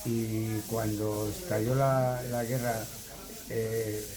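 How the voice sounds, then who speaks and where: A man giving spontaneous family testimony, haltingly, repeating words as he searches for them. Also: lowish voice, flat delivery, crowd noise, poor audio.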